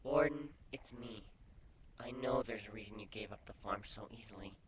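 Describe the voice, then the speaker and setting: A man voicing a young female changeling in a story reading, gentle, teasing and inquisitive, with soft breathy delivery. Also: on the low side, normal speed, a crowd in the background, natural voice. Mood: gently probing.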